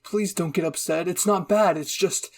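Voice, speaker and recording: A man's voice: sits high, normal speed, voice pushed up. A man voicing a caring, earnest young boy in a fan-fiction story reading, coaxing and comforting a friend. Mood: reassuring. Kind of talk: acted dialogue in a fan-fiction audio reading.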